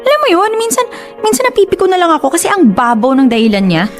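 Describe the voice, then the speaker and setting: A woman's voice: extremely high pitch, huge pitch swings, quick pace, soft background music, exaggerated voice. A young woman with a Filipino accent acting an exasperated, earnest girlfriend confiding in a friend in a radio drama.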